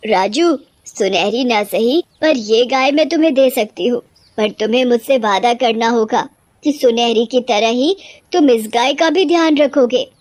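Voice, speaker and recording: A woman's voice: on the high side, a little slow, darkish tone, no echo, close to an everyday voice. A woman voicing a scary, bossy but fair witch in a cartoon story, with a menacing, scolding delivery. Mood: stern, relenting.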